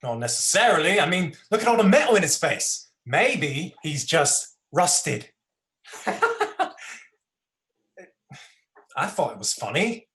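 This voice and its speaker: A man's voice: on the high side, big pitch swings, measured pace, fairly bright tone, roomy sound, exaggerated voice. A man voicing Scarecrow, a crude, sardonic male junkie patient, in a dramatized script reading. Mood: joking. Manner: sardonic, crude, joking drawl. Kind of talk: livestream play script reading.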